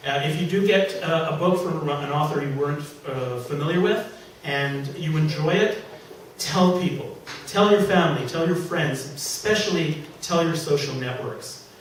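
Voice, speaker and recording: A man reading his own comic story to a live audience, animated and exclaiming, with digressive asides. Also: fairly high pitch, moderate pace. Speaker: author reading own fiction. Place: public reading event in a venue. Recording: echoey room from far off, faint noise.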